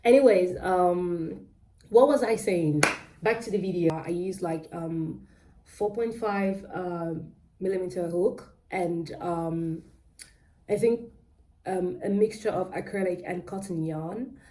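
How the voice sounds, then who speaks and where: A woman's voice: on the low side, takes it slowly, warm tone, a little hiss or hum. A young woman from Nigeria with a British-tinged Nigerian accent, rambling casually with lots of ums and self-corrections. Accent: Nigerian with British-influenced English. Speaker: crochet vlogger. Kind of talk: casual chatty vlog.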